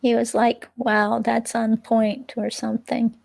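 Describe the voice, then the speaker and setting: A woman's voice: fairly high pitch, monotone, gravelly, darkish tone, full character voice. A woman reading the part of a hesitant, chatty ex-girlfriend questioned by police in a dramatic transcript reading. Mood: confiding. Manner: hesitant, halting, casual.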